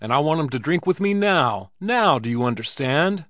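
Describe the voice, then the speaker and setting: A man voicing a burly, boastful, drunken saloon bully in an audiobook, loud and insistent. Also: mid-range voice, animated, a little slow, no echo, clean studio sound, close to an everyday voice. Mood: angry, blustering. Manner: loud, insistent, blustering exclamations. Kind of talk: dramatized audiobook character.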